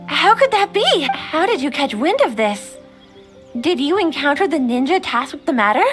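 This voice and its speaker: A woman's voice: high voice, a bit bright. A woman voicing a polite, dutiful female overseer in a video game, speaking formally and with composure. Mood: surprised, reassuring. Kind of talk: video game character dialogue. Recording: game music behind the voice. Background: background music.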